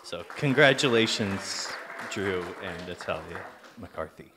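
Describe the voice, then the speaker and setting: A man's voice: low voice, expressive, slow pace, crowd noise, noisy background. A young man giving a best man toast with dry, self-deprecating humor. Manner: dry self-deprecating humor, laughs at his own jokes. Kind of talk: wedding toast speech.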